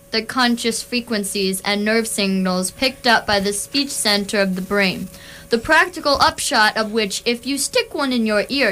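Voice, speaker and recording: Guidebook narrator for radio theatre female performer: fairly high, bright, dry, measured and informative. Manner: measured, explanatory.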